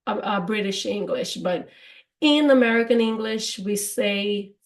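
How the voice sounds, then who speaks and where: A woman with a Brazilian Portuguese accent talks at a slow, unhurried pace in a relaxed English lesson podcast. Her voice sits in a middle range, and she laughs easily as she explains words. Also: medium pitch. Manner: laughs often, slow and careful. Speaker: English teacher and co-host.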